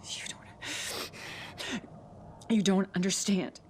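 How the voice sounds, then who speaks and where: A woman's voice: medium pitch, steady tone, extremely slow, slight background noise. A woman playing a desperate, weary, injured survivalist mother in a sci-fi audio drama. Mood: pleading, weak.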